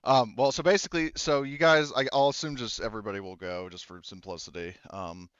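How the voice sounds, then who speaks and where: A man's voice: medium pitch, fairly even intonation, fairly quick, fairly bright tone, clear, resonant tone, no echo, highs cut off. A man narrating a tabletop role-playing game as game master in a casual, informal, loosely phrased way.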